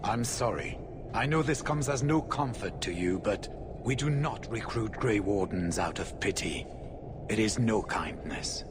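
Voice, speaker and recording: A man's voice: lowish voice, animated, measured pace. A man playing a grave, formal veteran warrior of a solemn order in a fantasy game, with noisy ambience around him. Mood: stern. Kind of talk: cinematic fantasy game dialogue.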